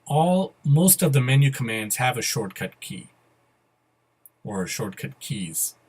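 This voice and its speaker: A man with a slightly husky voice presenting a step-by-step software tutorial in a casual, methodical way. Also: medium pitch, sing-song, slow. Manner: methodical, spelling out each step. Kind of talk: casual step-by-step screencast instruction. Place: voice-over to a computer screen recording.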